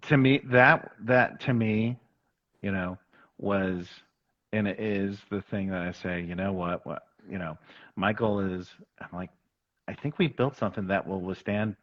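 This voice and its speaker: A man being interviewed in a casual, reflective chat, speaking in an earnest, halting, self-effacing way. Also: sits low, muffled recording. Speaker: interview guest, talent agent turned show executive.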